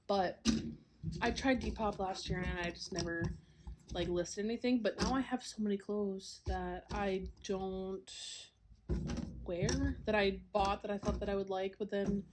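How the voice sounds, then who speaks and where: Young woman, podcast host, congested, throat-clearing, rambling casual chat, lots of fillers, home recording, noticeable background hiss. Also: medium pitch, unhurried. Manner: rambling, full of "like" and "um", with small laughs. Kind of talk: casual solo chat while doing a jigsaw puzzle. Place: a home, downstairs.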